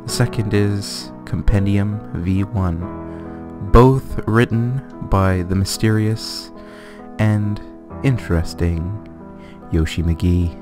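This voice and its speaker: Slow-paced man over loud music. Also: fairly low pitch. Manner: jokey, easily amused. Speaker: let's play co-host. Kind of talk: casual chat and dramatic book reading. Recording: music behind the voice.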